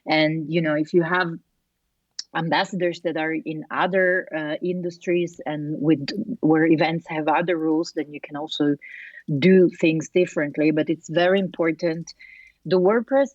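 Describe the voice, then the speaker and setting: A woman's voice: sits low, steady tone, moderate pace, dry recording, muffled recording. A woman from Italy with an Italian accent, a podcast guest chatting thoughtfully with many fillers and restarts. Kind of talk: casual interview chat.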